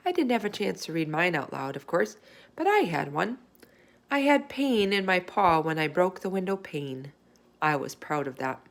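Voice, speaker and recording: A woman voicing a small, kindly male hamster who narrates a children's storybook with earnest wonder. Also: on the low side. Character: small chatty classroom hamster, male, kind and observant storyteller.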